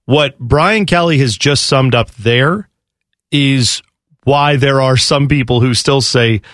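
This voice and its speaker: A man's voice: medium pitch, takes it slowly, a bit bright, no room sound, studio quality. A man co-hosting a sports radio show, chatting and bantering casually.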